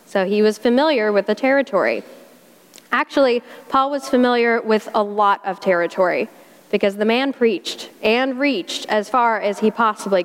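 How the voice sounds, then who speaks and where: A woman with a South Carolina accent preaching a sermon in a folksy, conversational way, using homey Southern expressions. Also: mid-range voice. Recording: long hall reverb.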